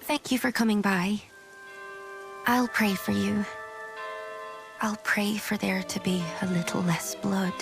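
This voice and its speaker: An actress playing a gentle, devout young oracle in a video game cutscene, soft-spoken, pensive and solemn. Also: female voice, medium pitch, fairly even intonation, slow, vocal fry, pauses often, music in the background.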